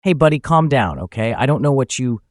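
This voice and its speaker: Young illicit lover played by a male narrator: nervous, placating, stammering, pitched up, audio story. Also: lively intonation, fairly quick, no echo, studio quality, natural voice. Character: frightened young man, caught-out lover, placating.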